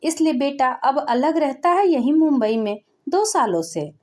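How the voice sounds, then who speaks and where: A woman's voice: high voice, expressive, unhurried, a little mellow. A woman voicing a poor old father from a village who speaks in a pleading, bewildered way, in a spoken storybook.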